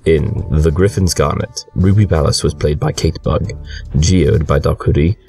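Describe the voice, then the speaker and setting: A man's voice: extremely low pitch, moderate pace, a little mellow, far from the mic, faint music behind. A man reading scripted credits as an announcer.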